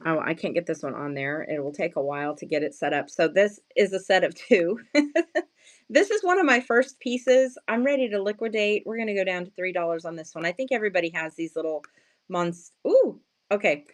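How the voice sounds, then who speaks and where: A woman with a slightly hoarse, just-woken morning voice, chatting casually and playfully as she sells handmade crafts on a livestream, often addressing viewers and poking fun at herself. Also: on the low side, expressive, brisk. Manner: self-deprecating, playful slangy wording. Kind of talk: casual live sales chat with viewers.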